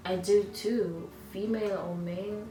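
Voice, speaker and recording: A woman's voice: medium pitch, fairly even intonation, a little slow, dark tone. A young woman from Congo co-hosting a casual chat, giving short, teasing replies. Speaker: channel co-host. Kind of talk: casual get-to-know-me chat. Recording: roomy sound, mic at a distance, clear music behind.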